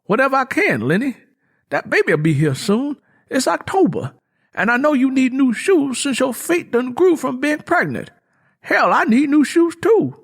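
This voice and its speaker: A man voicing a poor, defiant young rural laborer with a quick temper and folksy country speech in an audiobook. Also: extremely high pitch, creaky, slightly rough, voice pushed up, heavily acted. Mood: worried, frustrated. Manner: folksy rural vernacular, double negatives. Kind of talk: audiobook character dialogue.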